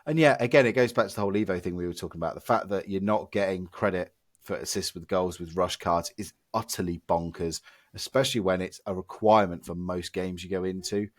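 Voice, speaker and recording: A low-pitched man with a hoarse voice he is losing to illness. He rants with exasperated emphasis on a close, dry podcast mic. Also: quick pace, dry recording. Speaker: podcast co-host. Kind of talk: casual podcast chat.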